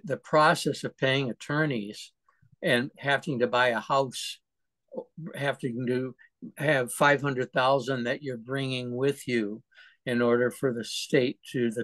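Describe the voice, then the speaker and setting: A man's voice: mid-range voice, fairly bright tone, dry recording, rough recording. Blunt man interviewer, dry close sound. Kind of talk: conversational interview.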